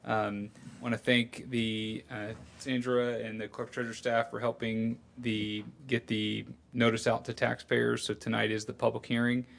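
An American man giving a halting official presentation at a public meeting. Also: medium pitch, level tone, creaky, slight background noise. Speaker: budget presenter at a public hearing. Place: indoor public meeting room.

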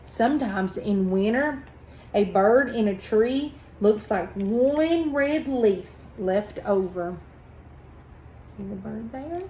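A middle-aged woman reading a picture book aloud to children, phrasing expressively and deliberately. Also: medium pitch, animated, dark tone, a little hiss or hum, rough recording. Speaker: library storytime reader. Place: indoors at a table in a small room.

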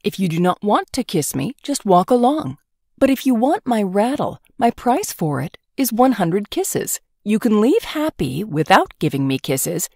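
A woman voicing a self-assured, stubborn young man, a swineherd prince, calm and firm in a storybook. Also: medium pitch, no echo, clean studio sound.

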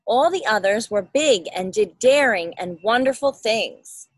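Animated, high-voiced woman reading a storybook. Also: a little slow, no room sound. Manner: expressive, explains and asks simple questions for a child. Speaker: parent reading a picture book aloud. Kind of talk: children's storybook read-aloud. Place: outdoors in a backyard. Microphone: phone or laptop at arm's length.

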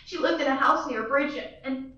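A woman's voice: sits high, normal speed, bright, forceful tone. A young woman acting a fretful, demanding wealthy woman on the telephone in a school radio play, indignant and insistent. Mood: agitated. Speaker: student actor. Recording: dull, roomy, from far off.

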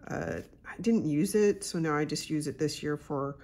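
Congested woman chatting slowly at a desk. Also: low voice. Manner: chatty, unhurried, with soft asides. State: congested from a cold. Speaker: YouTube channel host. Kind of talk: casual pen unboxing chat. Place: home desk, indoors.

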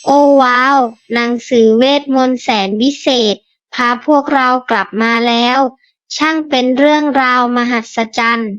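A woman's voice: high voice, steady tone, unhurried, a bit bright, no room sound, highs cut off. A woman voicing a curious, kind young child adventurer who speaks with wonder to young listeners in a storybook tale. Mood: wondering, cheerful. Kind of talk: children's story hosting and acting.

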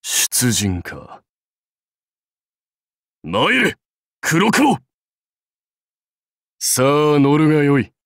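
A man's voice: medium pitch, lively intonation, slow pace, hardly any pauses, smooth, no room sound, studio quality. A man voicing a proud, seasoned samurai warlord in a mobile game, speaking in a dignified, archaic lordly manner. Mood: lordly, instructive. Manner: stately, archaic lordly speech. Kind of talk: Japanese mobile game character voice lines.

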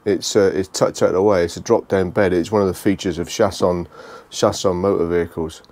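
A British man giving a casual, unscripted walkthrough tour, speaking haltingly and repeating phrases as he goes. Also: sits low, steady tone, a little mellow. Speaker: vlogger giving a motorhome tour.

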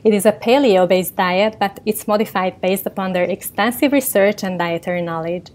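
A woman's voice: mid-range voice, moderate pace, a little mellow. A woman whose first language is Hungarian, hosting a health podcast and reading a formal introduction of her guest. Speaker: podcast host, nutritionist. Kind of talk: scripted introduction then interview.

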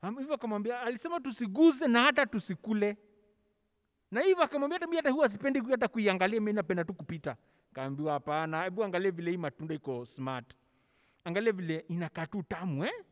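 Kenyan male children's Sunday school teacher, high voice, theatrical storyteller, handheld mic in a very reverberant church hall. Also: expressive, fast talker. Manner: theatrical, acting out dialogue with stammered repetitions; code-switches casually between languages. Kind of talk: animated Bible storytelling for children. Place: large church hall, on stage. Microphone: handheld microphone, held close over face mask.

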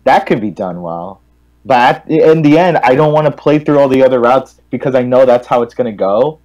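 A man's voice: mid-range voice, lively intonation, fairly quick, darkish tone, muffled recording. A man casually giving his opinion in a game discussion. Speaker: gaming stream panelist.

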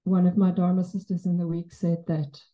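A woman's voice: fairly low pitch, level tone, brisk, dark tone, muffled recording. A middle-aged woman from South Africa whose first language is Afrikaans, speaking with an Afrikaans South African accent as she gives a reflective, lightly humorous personal tribute at a memorial in a Buddhist center. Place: Buddhist center shrine room, indoors. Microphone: handheld mic, far camera.

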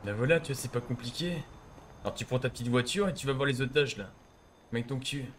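A man's voice: medium pitch, brisk, fairly bright tone, slight background noise. A man improvising casual game roleplay as a crime boss, bossy and slangy, with swearing.

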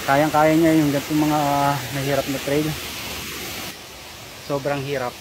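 Chatty hiking vlogger from the Philippines, a man in his thirties, talking to viewers on a handheld phone by a rushing waterfall. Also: fairly high pitch, little pitch movement. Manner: chatty, addresses viewers as guys. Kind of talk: casual hiking vlog. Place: outdoors by a forest waterfall. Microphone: phone held at arm's length. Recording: hissy outdoor phone audio over waterfall.

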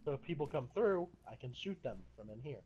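A young man giving bossy, profane orders to teammates in an online match, his voice worn hoarse. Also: medium pitch, fairly quick, muffled recording. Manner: animated, profane, bossy callouts. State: losing his voice. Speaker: gamer recording his match. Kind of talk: casual gaming banter. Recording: game-chat audio with faint noise.

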